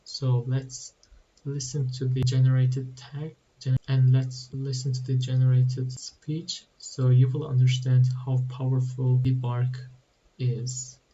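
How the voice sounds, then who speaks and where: A man explaining code slowly with halting restarts, as a tutorial host. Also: mid-range voice, monotone, mellow, lo-fi sound. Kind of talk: screen-recorded coding walkthrough.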